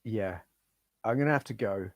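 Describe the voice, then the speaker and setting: A middle-aged man with a hoarse voice, chatting casually to viewers on a retro gaming live stream and reading out their names. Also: fairly low pitch, normal speed, dry recording, clean studio sound. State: hoarse, losing his voice.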